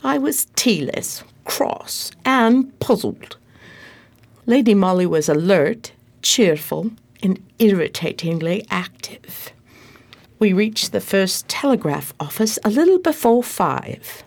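A woman playing a loyal, long-suffering detective's assistant, narrating and acting in a slightly prim, expressive radio play style. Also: medium pitch, slow, vocal fry, full character voice.

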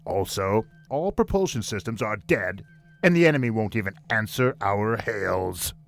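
A man playing a surly, gruff weapons officer in a comedic radio play, clipped and blunt in his reports. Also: lowish voice, animated, moderate pace. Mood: grim. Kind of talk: comedic radio theatre parody acting.

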